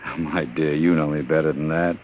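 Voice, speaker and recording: An actor playing a smooth, menacing gangster who talks in a cold, mocking way in a 1950s radio drama. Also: male voice, rumbling low, steady tone, brisk. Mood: seductive. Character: smooth menacing male gangster, middle-aged. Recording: muffled old radio broadcast.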